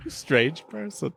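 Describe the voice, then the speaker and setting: A man's voice: fairly high pitch, gravelly, a bit bright, husky. A man hosting a podcast in a casual, joking review-chat style. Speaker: podcast host.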